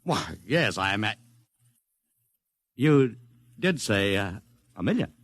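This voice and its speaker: An actor playing a glib, money-hungry publicity agent with slangy wisecracking patter in a 1950s radio drama. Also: male voice, lowish voice, big pitch swings, slow pace, no room sound, clean studio sound. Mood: flustered, eager.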